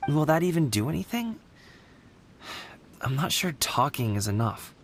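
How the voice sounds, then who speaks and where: A man's voice: on the high side, very animated, moderate pace, very noisy. A man playing a friendly, earnest but self-doubting young man in a video game, with a casual, hesitant delivery. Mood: resigned.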